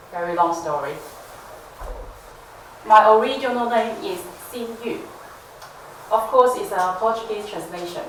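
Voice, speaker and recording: A woman from Macau, telling family stories slowly and gently in a live performance. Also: medium pitch, slight background noise. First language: Cantonese. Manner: slow, gentle, reflective storytelling. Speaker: artist and storyteller. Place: venue hall with an audience. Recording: echoey hall from far off with music. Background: instrumental music.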